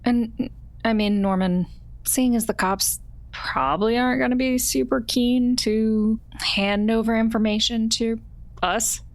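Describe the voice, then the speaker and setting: A woman playing a tabletop roleplaying game, speaking in character in a halting, improvised way as she searches for words. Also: a little high, steady tone, dry recording.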